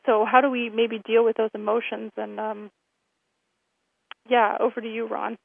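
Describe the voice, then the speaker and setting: A woman hosting a radio show, hoarse from a cold, giving friendly announcements with many fillers. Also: on the high side, little pitch movement, moderate pace, no echo. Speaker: host. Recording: thin phone-line sound.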